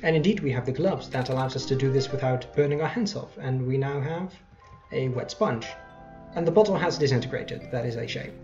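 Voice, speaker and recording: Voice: medium pitch, a little fast, a little mellow. Rambly gamer chatting through a playthrough, kinda dull mic, bit of game music underneath. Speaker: let's play host. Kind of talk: casual game commentary.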